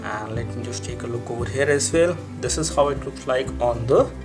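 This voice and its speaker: A man's voice: mid-range voice, a little fast, music in the background. A man giving an unscripted product comparison review, explaining and thinking aloud in a repetitive way as he demonstrates. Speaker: tech reviewer and presenter.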